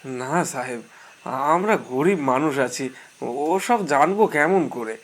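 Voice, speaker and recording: A man voicing a humble, poor Sherpa shopkeeper in his forties in an audio drama, deferential and halting. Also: on the high side, lively intonation, exaggerated voice. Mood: humble, hesitant.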